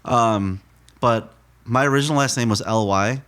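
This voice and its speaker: A man in his thirties, a donut shop owner, telling his story in a relaxed, rambling way with lots of fillers. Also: medium pitch, normal speed. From United States. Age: 30s. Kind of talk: casual interview storytelling.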